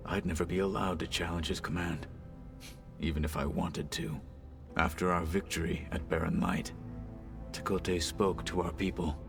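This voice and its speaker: Deep gravelly stoic warrior. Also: male voice. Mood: stoic, bitter. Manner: formal, measured, solemn speech. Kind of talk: dramatic video game acting.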